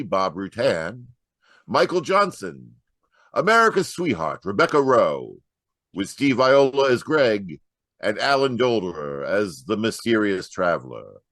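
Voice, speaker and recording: A man's voice: mid-range voice, fairly bright tone, no room sound. A man announcing in old-time radio sign-off style, showy and playful.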